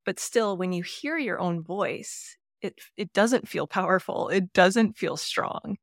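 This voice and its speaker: A woman co-hosting a podcast, talking through a book in a relaxed, thoughtful way with fillers, her voice a little lower than most women's. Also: slow pace, vocal fry, no room sound, studio quality. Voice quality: fairly low voice for a woman. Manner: thoughtful, agreeable, many fillers. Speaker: podcast co-host. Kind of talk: casual book discussion.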